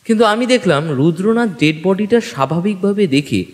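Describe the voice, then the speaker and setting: Animated male story narrator with long reverb. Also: sits high, moderate pace. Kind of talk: dramatic scripted first-person narration.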